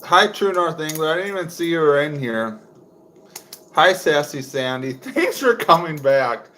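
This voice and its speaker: A young man from Wisconsin with a low voice, chatting casually on a home livestream while making fishing lures, rambling with lots of fillers. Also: expressive, a little slow, pushed and bright, a little hiss or hum. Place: indoors at home.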